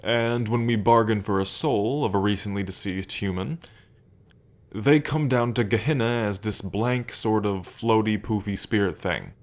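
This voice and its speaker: A man's voice: fairly low pitch, a little slow. A man playing a smug, teasing demon prince of pride in an ASMR roleplay, drawling and playfully taunting the listener. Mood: explaining.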